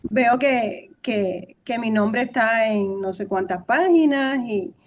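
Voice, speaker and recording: A middle-aged woman speaks as a guest historian in a relaxed podcast conversation. Her voice sits on the higher side, and a faded Cuban accent still colors it. She talks animatedly and keeps restarting and repeating words as she reaches for the right phrase. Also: slow, slight background noise. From Cuba. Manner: animated, repeats words while searching for them. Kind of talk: conversational interview.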